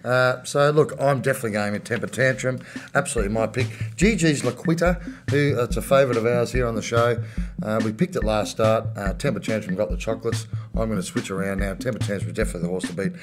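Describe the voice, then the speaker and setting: A man's voice: on the low side, quick pace. A man co-hosting a racing preview, quoting odds and picks in casual banter. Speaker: racing show co-host. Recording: music bed under voice.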